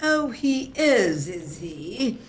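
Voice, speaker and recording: Woman playing a cantankerous, suspicious guardian aunt, animated voice. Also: fairly high pitch, extremely slow, exaggerated voice. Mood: suspicious, brusque. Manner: brusque, scornful, suspicious. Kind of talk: comic audio drama acting.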